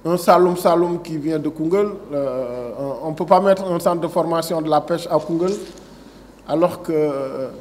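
A man in his forties with a Senegalese French accent delivers a speech into a table microphone in a conference room. His voice sits a little higher than most men's. He shifts from reading prepared text to speaking off the cuff, pausing with fillers and restarting his sentences, over a faint background hiss. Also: darkish tone. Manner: reads prepared text, then digresses with many fillers and restarts. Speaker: agency director giving a speech. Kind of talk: formal speech at a signing ceremony.

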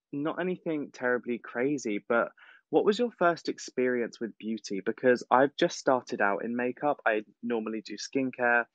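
A man's voice: medium pitch, fairly bright tone, dry recording. A young man with a British accent, casually and effusively putting a question to a guest with emphatic phrasing. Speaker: audience guest asking a question. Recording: dull, lo-fi online call audio.

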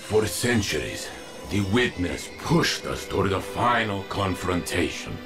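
A man voicing a weathered veteran male warrior in a video game, measured and solemn. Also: low voice, lively intonation, creaky, music in the background, exaggerated voice.

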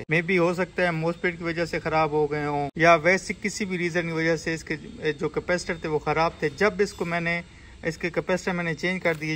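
A man's voice: on the high side, a bit bright. A man explaining a hands-on repair step by step as an informal video tutorial. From Pakistan. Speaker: repair technician and video host. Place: indoor repair workshop. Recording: clear background noise in a workshop.

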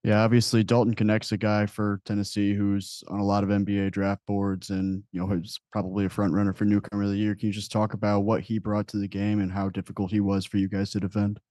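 A man's voice: on the low side, monotone, quick pace, few pauses, warm tone, no room sound. A man working as a reporter, putting a question at a press conference. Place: indoor press room.

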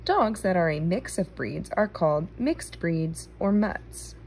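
A woman reading a children's nonfiction book aloud, phrasing it clearly for young listeners, recorded indoors on a handheld phone. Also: lowish voice, expressive, measured pace, darkish tone, slight background noise.

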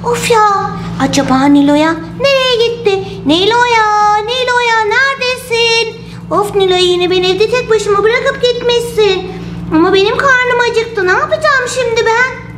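A woman voicing a hungry, excitable little turtle in a children's toy story, with childlike exclamations and a greedy manner. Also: very high-pitched, unhurried, background noise. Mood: whiny, hungry. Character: hungry, excitable little turtle who loves junk food. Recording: roomy voice over loud music.

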